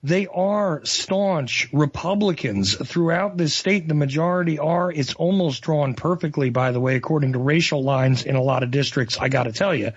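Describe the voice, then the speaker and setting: A man hosting talk radio, speaking emphatically and confidingly with a husky voice. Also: a little high, moderate pace, few pauses. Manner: emphatic, confiding, laughs while talking. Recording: dull lo-fi radio audio.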